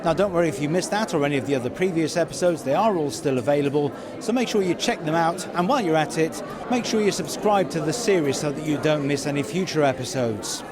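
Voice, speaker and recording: A man with a notably high-pitched voice presents and interviews as a podcast host. His delivery is upbeat, and loud crowd chatter from a busy venue surrounds him. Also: expressive, fast talker, few pauses. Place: theatre venue during red carpet arrivals.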